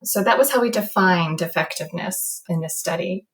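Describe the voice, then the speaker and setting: A woman's voice: on the low side, normal speed. An American woman speaking as a guest researcher, explaining a study in an interview.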